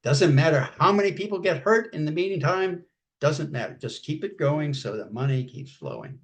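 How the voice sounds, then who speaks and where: Halting older man, clearing his throat. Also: on the high side, expressive. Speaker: guest. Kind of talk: casual interview chat.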